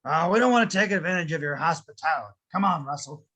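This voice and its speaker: A man playing a nervous, evasive old man in a table read, hurried with hesitant starts. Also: fairly high pitch, lively intonation, bright, forceful tone. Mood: polite, nervous.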